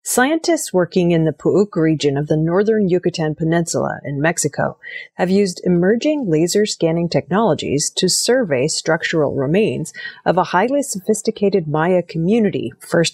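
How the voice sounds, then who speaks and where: A woman presenting scripted news in a measured, formal reading manner. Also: lowish voice, a little mellow, breathy edge, dry recording, studio quality.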